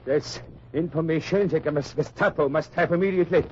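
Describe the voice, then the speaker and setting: An actor playing an anxious young Nazi soldier. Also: male voice, on the high side, brisk, lightly acted. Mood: shaken. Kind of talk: 1950s radio drama acting. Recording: dull very noisy old radio recording.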